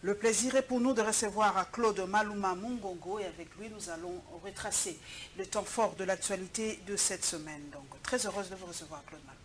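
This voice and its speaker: A woman's voice: mid-range voice, a little fast. A woman hosting a TV news show and pressing her guest with pointed questions. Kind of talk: TV studio interview and news presentation.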